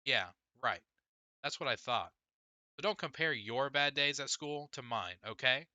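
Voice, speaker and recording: Sullen young schoolboy, played by a male narrator: defiant, curt, dismissive, sharp bright tone, dry recording. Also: mid-range voice, expressive, a little slow, no echo, lo-fi sound, pitched above the performer's own voice. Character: stubborn, sullen young schoolboy, a little brother. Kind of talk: audiobook character dialogue.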